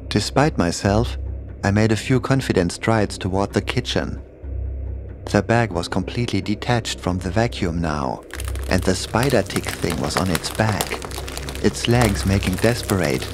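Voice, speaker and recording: A man's voice: fairly low pitch, measured pace, background noise. A man reading a scripted first-person horror story as its narrator. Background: music behind the voice.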